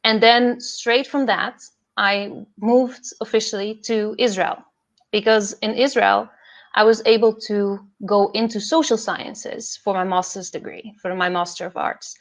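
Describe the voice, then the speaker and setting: Young woman, mid-pitched voice, earnest and measured, frequent fillers, video call. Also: fairly even intonation. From Netherlands. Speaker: podcast host and PhD fellow, guest speaker. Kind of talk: online talk about her career path.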